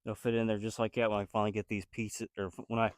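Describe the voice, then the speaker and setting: A man's voice: fairly low pitch, level tone, fast, dry recording, studio quality. A man with a cold-stuffed nasal voice, thinking aloud in a hesitant, rambling way as he works on a building project and talks to his viewers. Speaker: DIY builder vlogger. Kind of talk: casual self-talk while building.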